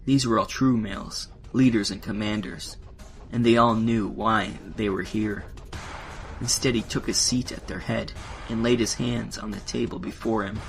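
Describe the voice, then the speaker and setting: A man's voice: lowish voice, steady tone, normal speed, gravelly. A man reading scripted narration as the narrator of a science-fiction audio drama. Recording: faint background noise and ambience.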